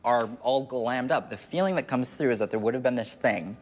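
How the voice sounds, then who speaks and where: A man in his twenties speaking as a medium, relaying impressions in a halting, conversational way. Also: medium pitch. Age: 20s. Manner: halting, narrating impressions as they come. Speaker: medium, show host. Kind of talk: psychic reading, spontaneous conversational. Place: indoor TV studio set. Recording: music behind the voice. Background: music.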